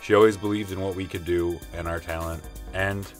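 A man's voice: low voice, fairly even intonation, brisk. A man hosting an audio comedy show, reading scripted credits and mock sponsor messages. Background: music.

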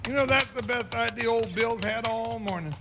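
An actor playing a big, jolly, complaining cowboy deputy with a folksy drawl in a radio western. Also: male voice, very high-pitched, normal speed, gravelly, noisy background. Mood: lazy grumbling. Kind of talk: 1950s radio western acting.

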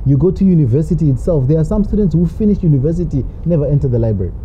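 A woman's voice: deep voice, animated, racing. A woman guest in an interview, explaining her views at length and posing rhetorical questions. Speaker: literacy advocate guest. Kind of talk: interview answers. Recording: dull lo-fi with faint noise.

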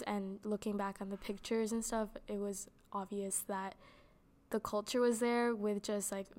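A woman's voice: mid-range voice, a little mellow. A young woman giving casual interview answers in a chatty way, with lots of "like" fillers. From Canada. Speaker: interviewee, actor.

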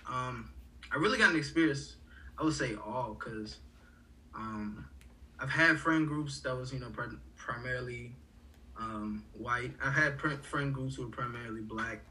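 A young man from Haiti talks loosely, with lots of fillers and restarts. His voice is bright and forward. He sounds a bit away from the mic, with a faint hiss of background noise behind him. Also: mid-range voice, measured pace, far from the mic, low-quality recording. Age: young adult. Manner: rambling, many fillers and restarts. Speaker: college student guest. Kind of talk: casual conversation.

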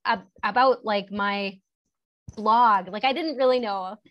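A woman in her thirties speaks as an interview guest, chatty and full of "like" fillers. Her pitch sits in a middle range, and she turns animated when she retells a scene. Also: a little slow, muffled recording. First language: English. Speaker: guest, entrepreneur. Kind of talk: casual storytelling interview.

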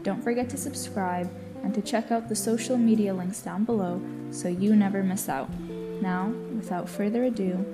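A woman's voice: mid-range voice, fairly even intonation, moderate pace, over music. A young woman hosting a book podcast, chatting casually with a friend and filling her talk with "like". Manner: chatty, lots of "like" fillers. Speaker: podcast host. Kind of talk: casual chat.

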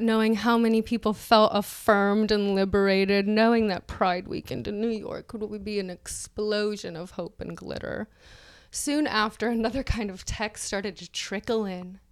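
Female podcast co-host, mid pitch, mock-dramatic reading with snarky laughing asides. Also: fairly even intonation, a little slow, clean tone.

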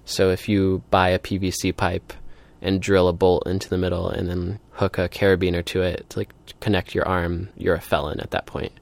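A man's voice: low voice, level tone, normal speed, gravelly, hardly any pauses. A man speaking informally as an activist in an interview, thinking aloud and peppering his sentences with "like". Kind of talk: casual spoken interview.

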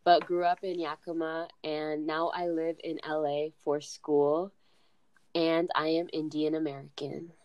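A woman's voice: low-pitched, level tone, a little slow, no room sound, dull audio. A woman of twenty, a podcast co-host, introducing herself in a brief, matter-of-fact way. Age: 20s. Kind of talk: casual podcast chat.

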